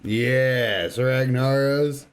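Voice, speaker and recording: A man's voice: medium pitch, drawn-out words, clear, resonant tone. A man co-hosting a casual gaming podcast, giving short, blunt replies. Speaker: podcast co-host.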